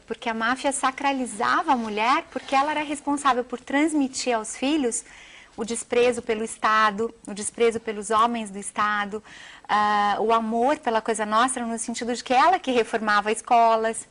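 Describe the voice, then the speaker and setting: High-voiced Brazilian woman in interview. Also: fairly bright tone. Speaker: guest, journalist and author. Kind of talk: television interview, animated storytelling.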